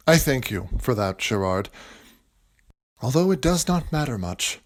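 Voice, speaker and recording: Man acting a melancholy, earnest gentleman playwright. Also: mid-range voice, big pitch swings. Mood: composed, polite. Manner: earnest, formal, measured period speech. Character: melancholy, self-doubting civilian playwright, a gentleman. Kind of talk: audio drama acting.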